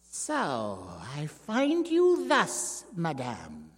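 A man's voice: on the high side, huge pitch swings, slightly rough, exaggerated voice. Man voicing a grim vengeful circus dwarf. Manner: grim, measured. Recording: long hall reverb.

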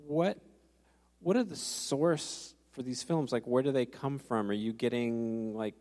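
A man with a mellow, lowish voice, putting a question from the floor and restarting as he goes. The hall reverb is long. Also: measured pace. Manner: hesitant, many restarts. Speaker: audience member. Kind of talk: audience question. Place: auditorium.

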